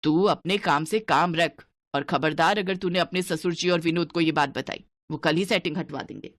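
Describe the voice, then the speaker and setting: A woman's voice: fairly low pitch, normal speed, no room sound, natural voice. A woman voicing a stingy, scolding older mother-in-law in a cartoon story. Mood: scheming. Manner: bossy, penny-pinching, sharp-tongued.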